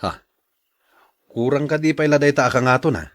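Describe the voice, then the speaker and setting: A man's voice: medium pitch, fairly quick, close to an everyday voice. Guy playing an easygoing ilocano jeep driver in a radio play, normal mid voice, agreeable. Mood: placating. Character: easygoing, patient husband and jeepney driver. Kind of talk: Ilocano radio drama acting.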